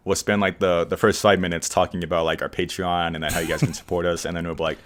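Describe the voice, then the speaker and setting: A man hosting a casual podcast chat, who edits the show, rambling along and describing his own voice as monotone. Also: sits low, fast. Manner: relaxed, rambling, says he has a monotone voice. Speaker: podcast host and editor.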